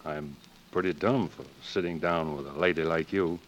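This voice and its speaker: A man's voice: extremely low pitch, moderate pace, dull audio. A man playing a courteous, plain-spoken young outlaw with a Western American accent in a 1940s radio drama. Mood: humble, rueful. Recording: hissy 1940s radio recording.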